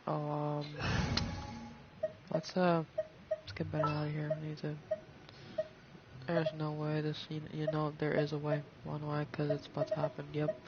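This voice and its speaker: A man's voice: on the high side, completely flat delivery, normal speed, pauses often, muffled recording, heavy background noise. A man giving casual game commentary, slangy and sweary, often muttering and repeating himself. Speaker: game streamer.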